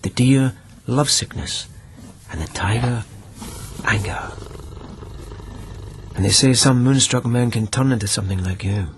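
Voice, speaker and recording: An actor in a radio drama playing a gentle, lovelorn police lieutenant, speaking in a deliberate, wry, musing way. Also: male voice, medium pitch, a little hiss or hum, close to an everyday voice.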